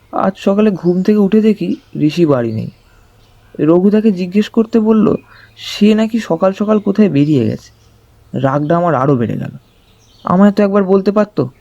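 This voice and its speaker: Man, dramatised thriller narration, warm relaxed tone, conversational, slightly dull close recording. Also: high voice, no room sound. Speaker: narrator of an audio story. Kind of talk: dramatised first-person storytelling in a detective thriller.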